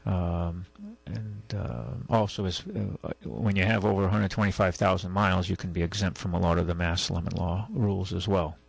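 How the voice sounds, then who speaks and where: A man hosting a call-in radio show, giving casual advice in a halting, rambling way. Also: low voice, fairly even intonation, gravelly, husky, dry recording. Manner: halting, rambling, conversational explanations. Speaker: radio call-in show host, car dealer.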